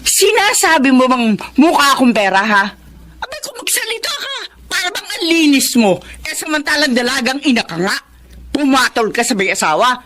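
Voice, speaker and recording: Radio drama casting: a scolding village mother, high, bright and biting. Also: sing-song, normal speed, slight background noise. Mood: angry scolding. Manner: scolding, sharp-tongued. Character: harsh scolding village mother and folk healer.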